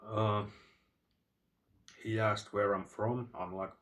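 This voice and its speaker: Brief: low-voiced Finnish man with a Finnish accent, unhurried and rambling, picked up by a phone a bit away. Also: flat delivery, a bit bright, distant mic. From Finland. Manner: digressive, halting, many fillers and restarts. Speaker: channel host. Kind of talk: rambling storytelling monologue.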